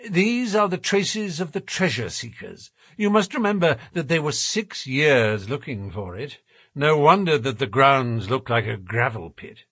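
A man voicing a keen male detective who speaks in a crisp, firm way. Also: mid-range voice, very animated, measured pace, a bit bright, no echo, studio quality, exaggerated voice.

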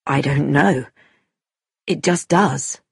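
Woman narrator as quiet man, calm, low pitch, understated, plain-spoken, audiobook dialogue. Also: unhurried, breathy edge, no room sound, clean studio sound. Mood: calm, firm.

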